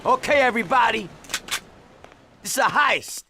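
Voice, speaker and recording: An actor playing a forceful bank robber in a video game, barking threatening orders. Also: male voice, very high voice, lively intonation, moderate pace, vocal fry, background noise, exaggerated voice. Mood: commanding, threatening.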